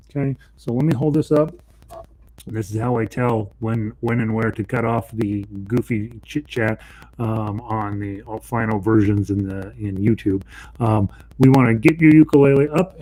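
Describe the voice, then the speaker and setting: Low-voiced man teaching ukulele. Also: warm tone, rough recording. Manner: casual, rambling, with many ums and self-corrections. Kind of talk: live online music lesson. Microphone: voice mic and separate instrument mic.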